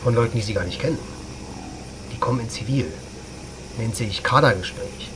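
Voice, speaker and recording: A sardonic military officer played by a man in a radio crime drama, with a medium-pitched voice. He talks in a brusque, dry, challenging way amid clear vehicle noise. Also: moderate pace, lightly acted. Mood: sardonic, explaining. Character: brusque, sardonic male army officer and pilot. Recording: loud vehicle engine noise around the voice.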